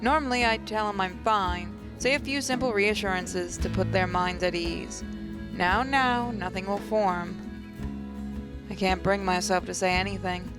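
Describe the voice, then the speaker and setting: A woman game host reading visual novel narration aloud in a measured way, occasionally stumbling. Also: medium pitch. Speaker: let's play host. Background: music.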